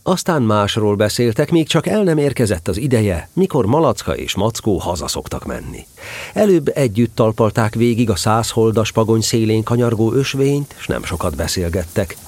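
A man narrating a storybook tale in an expressive, animated way. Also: on the low side.

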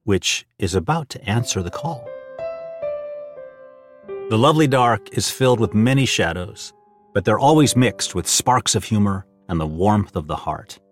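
A man hosting and narrating a scripted audio drama trailer with measured, dramatic storytelling. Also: on the low side, animated, faint music behind. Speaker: host and narrator.

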